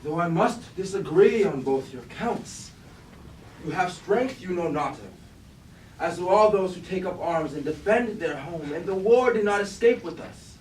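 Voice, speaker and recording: Casting: male actor for a calm, resolute robot monarch, slightly high voice, stately classical verse, heard from across the room. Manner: measured, oratorical, archaic formal diction. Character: noble robot king, weary of war, calm and resolute. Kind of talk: staged reading of mock-classical verse tragedy. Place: indoor room at a staged reading. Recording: roomy, distant mic, faint noise.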